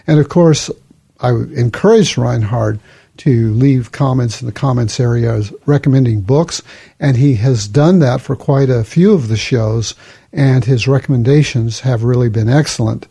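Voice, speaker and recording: A man hosts his own podcast in a relaxed, conversational manner. His voice has a gravelly, fry-laden edge with some huskiness. The tone is dark and mellow rather than bright. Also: medium pitch. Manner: relaxed, conversational, reads listener emails aloud. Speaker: podcast host. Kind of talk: casual podcast talk.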